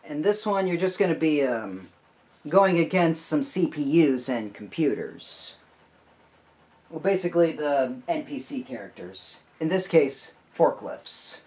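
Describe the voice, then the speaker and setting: A video game walkthrough host giving casual, excitable commentary and talking to themself while playing. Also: mid-range voice, unhurried. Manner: excitable exclamations and self-talk while playing.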